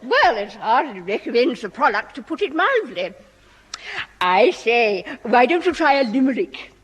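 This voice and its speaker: A woman's voice: on the high side, big pitch swings, moderate pace, breathy edge. An actress playing a posh, gushing, prim lady with an upper-class English accent in a 1960s radio comedy. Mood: prim disapproval. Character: posh, jolly, gushing, easily carried-away middle-class lady. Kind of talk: 1960s radio sitcom acting. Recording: slightly noisy old 1960s radio recording.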